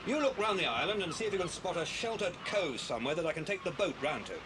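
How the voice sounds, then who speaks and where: Avuncular adventure-story guardian: high-pitched for a man, hugely animated, briskly giving orders, noisy cassette recording. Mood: brisk, directing. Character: Bill, genial grown man guarding children on holiday. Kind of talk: 1980s audio drama acting. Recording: noisy old cassette tape transfer.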